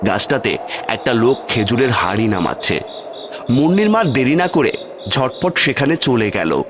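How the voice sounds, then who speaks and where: A man's voice: medium pitch, sing-song, normal speed, noisy background. A man narrating a scripted horror story as its storyteller. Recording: music bed under the voice. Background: music.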